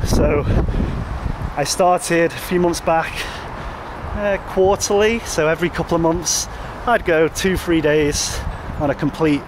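Rambling man vlogging outdoors. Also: a little high. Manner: rambling, relaxed, many fillers and restarts. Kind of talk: casual walking vlog. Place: outdoors, walking by a road with traffic. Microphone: handheld phone. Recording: outdoor wind and traffic noise.

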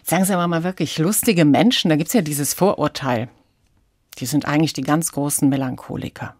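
A woman hosting a radio interview in a measured, conversational moderating style. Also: sits low, a little mellow, husky, no echo, studio quality. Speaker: radio host. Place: dry radio studio.

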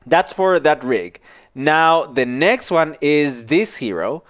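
Man, tutorial instructor, informal step-by-step explaining, crisp resonant voice, headset mic. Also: on the high side, animated, slow pace. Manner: casual, demonstrative explaining. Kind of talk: informal software tutorial walkthrough. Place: small indoor office. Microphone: headset microphone.

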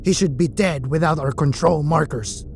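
A woman's voice: sits low, normal speed, a little mellow, slight background noise. Woman as an anxious, deferential male scientist. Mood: disbelieving. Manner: deferential, uneasy reporting.

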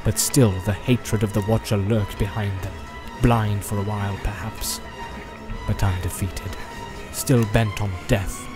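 A man narrating a fantasy audiobook in a solemn, measured, dramatic literary style. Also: lowish voice, fairly even intonation. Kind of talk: dramatic scripted storybook narration. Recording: loud background music and sound effects. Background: loud cinematic music.

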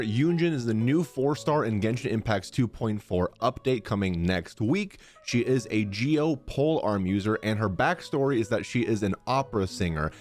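A man's voice: lowish voice, darkish tone. A man giving casual streamer commentary into a close microphone. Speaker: streamer, content creator. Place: small home room, indoors. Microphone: close boom-arm studio microphone. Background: faint music.

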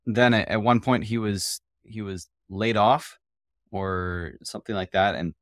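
A man hosting a podcast in a casual interview style, halting and now and then stuttering. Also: fairly low pitch, steady tone, a bit bright, dry recording, clean studio sound. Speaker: podcast host.

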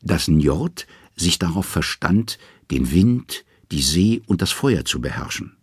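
A man narrating mythological tales from a script in a measured, dramatic storytelling manner. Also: sits low, very animated, breathy edge, no echo, clean studio sound.

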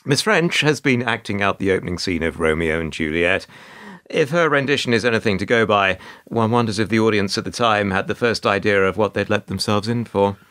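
A man narrating a radio play as a wry, put-upon storyteller, measured and sardonic. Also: lowish voice, fairly bright tone, natural voice. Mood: wry, dry. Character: wry, put-upon male narrator recalling awkward encounters.

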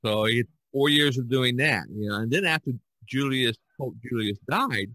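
A man with a bright, forward voice talks casually as a podcast co-host. He tells stories haltingly, circling back and repeating himself, and the sound is close and dry. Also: mid-range voice, expressive, no echo, rough recording. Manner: halting, repetitive storyteller. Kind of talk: casual art discussion.

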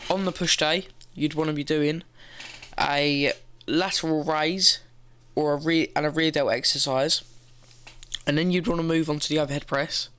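A man's voice: on the high side, a bit bright, clean tone. A teenage boy giving casual gym advice from his bedroom, loose and rambling, swearing now and then, talking into a gaming headset. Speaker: fitness vlogger. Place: bedroom, indoors.

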